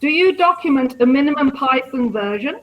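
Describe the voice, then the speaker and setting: A woman's voice: sits high, lively intonation, normal speed, lo-fi sound. A woman moderating a live question-and-answer session, improvising and checking as she goes.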